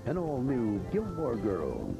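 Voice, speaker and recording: A man's voice: mid-range voice, wildly swooping pitch, slow pace, vocal fry. A man reading scripted television promos and trailers as an announcer. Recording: loud music under announcements.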